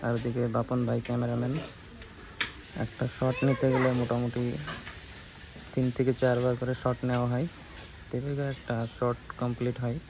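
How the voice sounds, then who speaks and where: A man's voice: mid-range voice, monotone, normal speed, vocal fry, long pauses, background noise. A man hosting a casual behind-the-scenes video, talking straight to viewers and often repeating his phrases. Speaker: vlog host.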